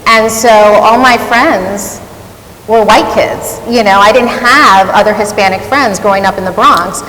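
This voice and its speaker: A woman news anchor speaks on a panel in a large hall, lively and chatty, voicing the people in her stories. Her pitch sits mid-range, and long reverb with a low rumble surrounds her. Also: a little slow. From New York. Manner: animated, acts out remembered exchanges, laughs easily. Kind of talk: panel discussion, personal storytelling. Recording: echoey hall, faint rumble.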